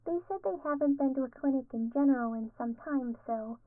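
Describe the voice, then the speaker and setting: A high, soft-spoken young woman as acted by an ASMR performer works as a clinic assistant. She is polite, caring and friendly, recorded very dry and close. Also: brisk, dry recording, phone-quality sound, lightly acted. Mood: gentle, attentive. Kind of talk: ASMR roleplay.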